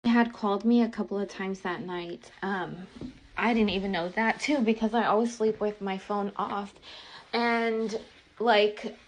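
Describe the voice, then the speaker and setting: A woman's voice: mid-range voice, fairly even intonation, unhurried. An American woman telling a personal story casually, in a candid, rambling, exasperated way. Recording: clearly noisy recording with clicks.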